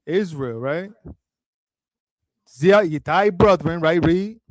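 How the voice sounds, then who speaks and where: Man teaching a study group, slightly high voice, dry close sound, repeats lines back slowly, keeps asking "right?". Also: no echo. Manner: keeps checking in with "right?" and "you feel what I'm saying?". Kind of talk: casual spoken teaching, read-along commentary. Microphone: streaming setup, close mic.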